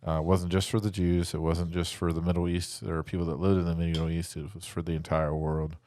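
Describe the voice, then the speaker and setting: A man's voice: low-pitched, flat delivery, brisk, warm tone. A man chatting casually and explaining things in a plain-spoken way, like an informal Bible teacher on a podcast. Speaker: podcast co-host giving a short devotional.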